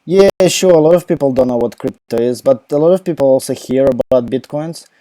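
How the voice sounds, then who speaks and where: A young Ukrainian-accented man answers questions live from home into his laptop microphone. His voice is very dark and mellow, and the sound is dry and close. He rambles casually, full of fillers and false starts. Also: medium pitch, fairly quick, dry recording, rough recording. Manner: rambling, many fillers and restarts. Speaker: crypto live Q&A host. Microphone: laptop mic.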